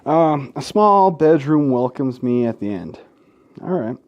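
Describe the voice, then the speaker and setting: A man's voice: mid-range voice, very animated, measured pace, dull audio. A man hosting a let's play in an informal, sweary way, mixing casual gaming commentary and asides with game text read aloud.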